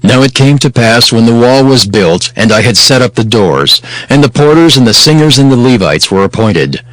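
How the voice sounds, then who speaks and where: An actor playing a steadfast governor of ancient Judah, narrating scripture in a solemn, measured, declamatory way. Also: male voice, medium pitch, no room sound, natural voice, rough recording. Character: steadfast male governor of ancient Judah telling his story. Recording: music behind the voice.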